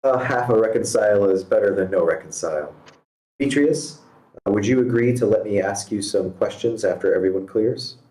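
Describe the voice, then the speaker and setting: A man playing a stern, patient mediator in a script read, asking firm, measured questions over an online call. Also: lowish voice, warm tone, natural voice. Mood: probing. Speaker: amateur actor reading a part. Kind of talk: screenplay table read, dramatic dialogue. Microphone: online meeting call. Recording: roomy, echoing home microphone.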